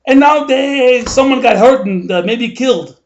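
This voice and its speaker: A man doing a shrill, cranky old New Yorker. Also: moderate pace, lo-fi sound. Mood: ranting. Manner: rambling, indignant rant with frequent asides. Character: grumbling older male New Yorker, ranting about the mayor. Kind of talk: comic character monologue.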